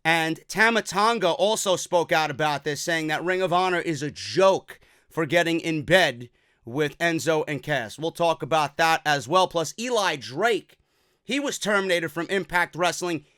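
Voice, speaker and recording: An energetic man hosts a wrestling news show from his office, casual and loose with his words. His voice sits high and bright, with a smooth, clear tone. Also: moderate pace, no echo, studio quality. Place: office at a desk.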